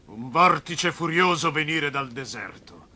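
A man voicing a brooding, resentful elder brother, a shepherd, in an animated film dub. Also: on the high side, unhurried, exaggerated voice. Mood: sarcastic, brooding. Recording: noisy ambience behind cartoon dialogue.

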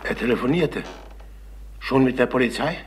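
An actor playing a desperate, middle-aged jobless salesman in a crime radio play, halting and agitated. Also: medium pitch, natural voice. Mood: despairing, anxious. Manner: halting, agitated, repeating himself. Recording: noisy old radio play recording.

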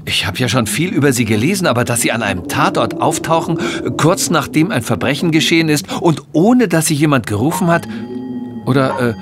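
An actor playing an eager, inexperienced police inspector in an audio drama, earnest and deferential, with a hesitant way of talking. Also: male voice, fairly high pitch, expressive, a little fast, over music, lightly acted. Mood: amazed. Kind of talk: German audio drama acting.

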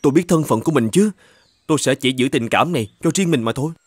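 A man's voice: mid-range voice, expressive, fast talker, a little hiss or hum, natural voice. Man as an earnest young cartoon knight. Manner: earnest, respectful. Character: devoted, dutiful young knight of humble rank. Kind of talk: dubbed children's cartoon acting.

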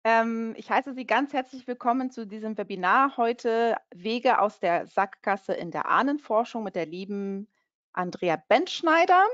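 A woman's voice: on the high side, expressive, measured pace, a bit bright, no room sound, lo-fi sound. A woman from Portugal hosting an online webinar, chatting friendly over slides with many fillers and restarts. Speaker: webinar host, company country manager.

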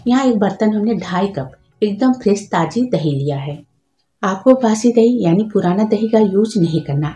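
A woman hosts a home cooking tutorial, walking through each step patiently and adding practical tips. Her voice is mellow and unhurried. It sits in an echoey room with faint music underneath. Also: mid-range voice. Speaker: cooking channel host.

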